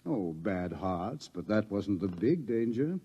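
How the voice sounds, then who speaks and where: Male radio drama scientist: middle-aged, ominous, deliberate lecturer, lively intonation, dull old recording. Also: fairly low pitch, husky, full character voice. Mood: darkly wry. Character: authoritative older male scientist explaining impending doom.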